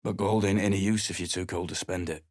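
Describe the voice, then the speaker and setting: Man playing a gruff sellsword for a game, middle-aged, low voice, dry studio sound, blunt and sarcastic. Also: fairly even intonation, fast talker, dry recording, lightly acted. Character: surly male mercenary adventurer, blunt and weary. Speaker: game character. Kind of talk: video game voice acting.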